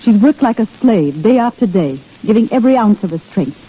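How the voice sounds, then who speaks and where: An actress playing an earnest, indignant young army nurse in an old radio drama, speaking in a dramatic manner. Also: female voice, mid-range voice, lively intonation, a little fast, breathy edge. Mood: bitter, impassioned. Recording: tinny old radio broadcast.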